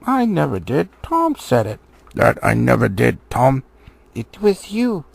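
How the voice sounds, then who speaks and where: A man's voice: mid-range voice, wildly swooping pitch, a little slow, vocal fry, slightly rough, dry recording, exaggerated voice. A man voicing dim-witted, quarrelsome trolls in a storybook reading, blustering and argumentative. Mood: angry bickering.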